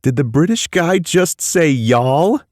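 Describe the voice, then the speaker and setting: A man's voice: on the low side, very animated, measured pace, a little mellow, no room sound, studio quality, voice pushed up. A man voicing a joking, guarded young college man. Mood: bantering. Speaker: college student.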